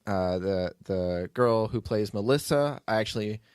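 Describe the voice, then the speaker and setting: A man's voice: on the low side, measured pace, darkish tone, smooth, dry recording, clean studio sound. A man hosting a podcast as its writer and producer, telling behind-the-scenes stories in a casual, rambling way.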